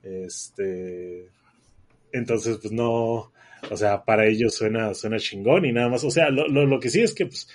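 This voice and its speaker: A man's voice: fairly low pitch, a little slow. A man with a northern Mexican accent chatting casually as a podcast co-host, rambling with lots of fillers and restarts. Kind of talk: casual chat.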